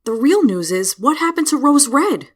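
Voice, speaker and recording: An actress playing a sharp-tongued, foul-mouthed young princess in a comic dub, with a sarcastic way of talking. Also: female voice, high-pitched, big pitch swings, moderate pace, noisy background. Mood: gossipy. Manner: sarcastic, profane.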